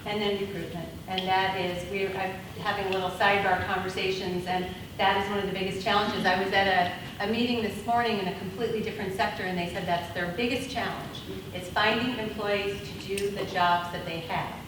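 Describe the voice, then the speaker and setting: A woman leads a conference workshop, talking loudly and laughing as she takes questions. She stands without a mic, so her voice comes from across an echoey room. Steady room noise runs under it. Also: medium pitch, fairly quick, hardly any pauses, recorded from a distance. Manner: loud voice. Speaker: conference presenter. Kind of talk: workshop presentation with audience questions. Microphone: speaking without a mic.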